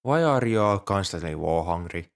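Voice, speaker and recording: A man's voice: deep voice, animated, moderate pace. A man playing an ancient, polite, nerdy dragon who collects coins, speaking earnestly and a little primly to the listener in an ASMR roleplay. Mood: miffed, chiding. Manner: polite, earnest, slightly prim and scholarly. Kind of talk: ASMR roleplay, one-sided dialogue with the listener.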